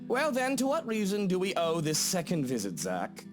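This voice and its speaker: A woman's voice: on the low side, huge pitch swings, normal speed, music in the background. A woman voicing an irate, haughty upper-class man, speaking in a pompous, curt and condescending way for a visual novel. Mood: mocking, smug. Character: irate, haughty, sharp-witted British upper-class husband.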